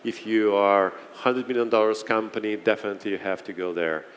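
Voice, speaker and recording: Low guy moderating a panel, stop-start, echoey hall, faint hiss. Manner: halting, searching for words. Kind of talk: panel discussion. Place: conference hall. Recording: reverberant hall with faint noise.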